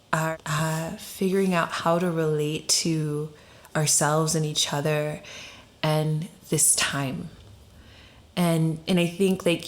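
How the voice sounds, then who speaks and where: Lower-pitched woman, reflective podcast guest. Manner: informal, searching phrasing with rhetorical questions. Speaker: podcast guest, story doula and facilitator. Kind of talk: conversational, reflective explanation.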